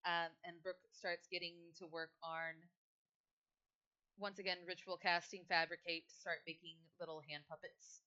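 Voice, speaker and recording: A woman's voice: lowish voice, flat delivery, moderate pace, bright, forceful tone, smooth, muffled recording. A woman improvising narration for a tabletop fantasy game.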